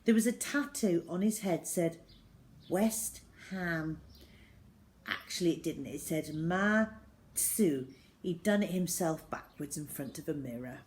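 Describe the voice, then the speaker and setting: A woman reading a children's story aloud as narrator, in an expressive storytelling style. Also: sits low, moderate pace, hardly any pauses, a little hiss or hum.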